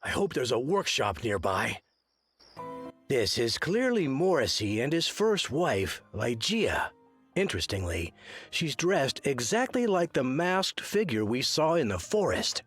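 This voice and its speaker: An actor playing an earnest, articulate gentleman private detective in a scripted mystery game. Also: medium pitch, big pitch swings, unhurried, soft background music. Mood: earnest, intent. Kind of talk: scripted adventure game dialogue.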